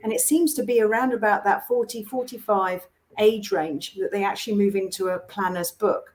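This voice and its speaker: A woman's voice: mid-range voice, normal speed, rough recording. A woman speaking as a panelist in a panel discussion, talking emphatically and often repeating herself as she makes a point. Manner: animated, emphatic with self-repeats.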